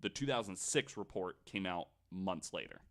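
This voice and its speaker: A man's voice: low voice, expressive, moderate pace, fairly bright tone. A man casually telling a researched story on a podcast, in an informal, somewhat hesitant way.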